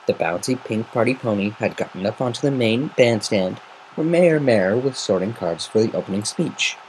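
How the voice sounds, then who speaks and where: A man's voice: on the low side, animated, normal speed, slight background noise, rough recording. A man narrating a story in a semi-dramatic amateur reading. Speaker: amateur fan fiction reader.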